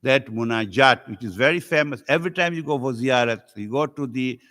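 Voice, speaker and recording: A man from Africa, a native Swahili speaker, preaching a religious sermon as a visiting scholar to a congregation, earnest and rising in pitch as he tells stories. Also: expressive, fairly quick, fairly bright tone.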